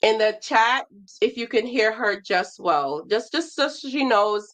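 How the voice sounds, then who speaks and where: A woman's voice: a little high, lively intonation, unhurried. A woman hosting a casual livestream, speaking straight to her audience. She talks in an encouraging, conversational way. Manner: warm encouraging introductions, addresses viewers as guys. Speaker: livestream host.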